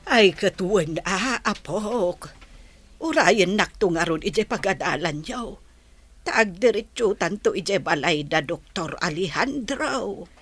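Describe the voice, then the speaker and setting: Radio drama grandmother: husky, unhurried, troubled, Ilocano accent, mid-pitched. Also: lively intonation, a bit bright, full character voice. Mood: gentle.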